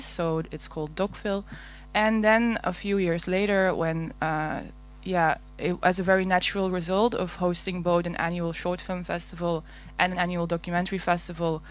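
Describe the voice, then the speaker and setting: A woman's voice: lowish voice, slight background noise. A woman from Belgium with a Flemish Dutch accent, giving a conference talk in a large hall, hesitant with many fillers and little laughs. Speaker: conference speaker. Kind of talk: conference presentation. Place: large darkened auditorium.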